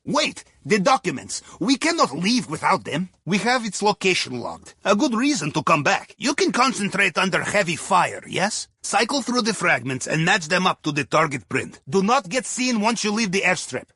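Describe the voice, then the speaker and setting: A man's voice: sits high, pushed and bright, breathy edge, no room sound, studio quality, heavily acted. A man playing a jovial, wry Russian submarine captain in a video game, with a Russian accent and a playful, theatrical way of guiding his crew. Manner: playful, theatrical, drops articles, tags sentences with questioning "yes?" or "huh?". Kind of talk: video game mission dialogue over comms.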